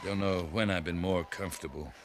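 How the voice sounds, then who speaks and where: An actor playing a stern, dry-witted cavalry captain, curt and commanding, with an American accent, in 1950s radio western style. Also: male voice, deep voice, fairly even intonation, brisk, natural voice. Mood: dry, weary. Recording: noisy vintage 1950s radio recording.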